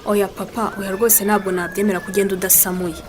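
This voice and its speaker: A woman's voice: mid-range voice, level tone, fast, noisy background, close to an everyday voice. An actress playing a schoolgirl who coaxes and insists with her father in a radio drama. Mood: coaxing. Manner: insistent, coaxing. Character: eager, doting schoolgirl daughter who cooks.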